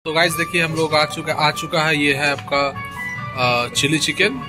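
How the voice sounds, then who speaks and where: A man, his voice sitting a little high, chats through a casual restaurant review. He calls his viewers friends and circles back over the same phrases as he tastes each dish. Loud music plays behind him in the dining room. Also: unhurried. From Kolkata, India. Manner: chatty, addresses viewers as friends, repeats phrases. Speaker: food vlogger. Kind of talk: casual food review vlog.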